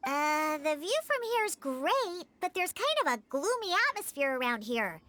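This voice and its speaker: A woman voicing a lively, cheerful and eager little girl character in an anime video game. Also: sits high, moderate pace. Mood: chatty.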